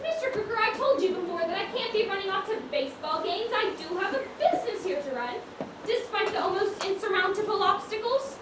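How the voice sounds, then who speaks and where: A woman acting on stage as an indignant, proper, sharp-tongued shopkeeper, projecting in an exasperated, scolding way. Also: very high-pitched, expressive, a little fast, distant mic. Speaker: stage actor in a one-act play. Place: theatre stage, distant microphone. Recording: echoey, noisy stage recording from afar.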